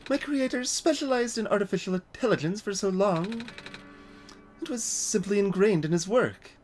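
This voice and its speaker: A man voicing a small, gentle robot fox from a video game, wistful and knowing, in soft, measured explanations. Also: high-pitched, lightly acted. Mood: grave, explaining. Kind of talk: video game character dialogue read aloud. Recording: music behind the voice.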